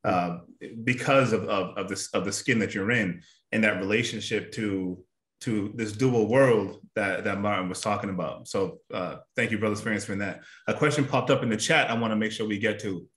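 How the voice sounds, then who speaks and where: A man moderating a panel on a video call, soft-spoken and clearing his throat now and then. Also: on the low side, normal speed, roomy sound. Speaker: discussion moderator. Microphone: webcam on video call.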